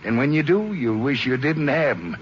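An actor playing a friendly, folksy rancher in a 1950s radio western, with an avuncular drawl. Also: male voice, mid-range voice, very animated, a little slow. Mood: genial, coaxing. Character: folksy, friendly unshaven rural Texas rancher. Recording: dull, noisy old 1950s radio transcription.

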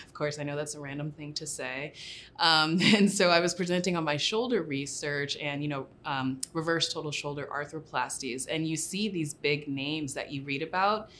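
A woman's voice: deep voice, a bit bright, a little hiss or hum. A woman radiologist talking casually and reflectively about her career, with many fillers and frequent laughs. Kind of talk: reflective personal story.

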